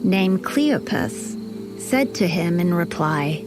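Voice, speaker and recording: A woman narrating scripture in a measured storytelling manner for an audio Bible. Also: fairly low pitch, lively intonation, rough recording. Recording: studio voice over background music.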